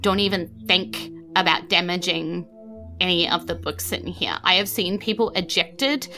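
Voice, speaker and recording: A woman's voice: lowish voice, moderate pace, bright, forceful tone, faint music behind. A woman voicing a nervous, bookish library assistant in a tabletop game, confiding and rambling hesitantly. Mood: hushed warning.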